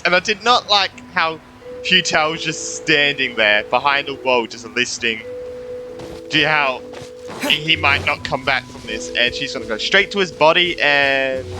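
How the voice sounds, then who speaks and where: A man's voice: a little high, moderate pace, over music. A man giving casual, informal let's-play commentary, reacting and muttering as he plays a video game. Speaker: streamer playing a video game.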